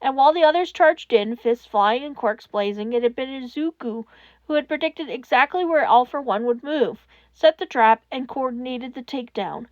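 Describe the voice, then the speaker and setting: A woman reading a fan fiction story aloud in expressive scripted narration. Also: high voice, moderate pace, dry recording, dull audio.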